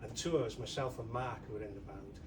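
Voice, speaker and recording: A man's voice: lowish voice, fast talker. A man from North Wales, a musician on a panel, recalling the past in a hesitant way that often trails off. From Conwy Valley, North Wales. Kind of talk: casual anecdotes on a panel. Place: bookshop event room. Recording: distant mic with room noise.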